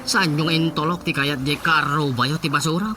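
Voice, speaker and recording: A man's voice: fairly high pitch, fairly even intonation, moderate pace, natural voice. An actor playing a chatty, teasing village councilman in an Ilocano radio comedy, with a Filipino accent and a gossipy way of talking.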